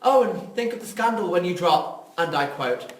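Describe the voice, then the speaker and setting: Man acting bitter writer, swooping animated pitch. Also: normal speed. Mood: scornful. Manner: sarcastic, cutting. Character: bitter, sharp-tongued male writer, proud and scornful. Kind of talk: amateur audio drama reading. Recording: roomy, echoey amateur recording.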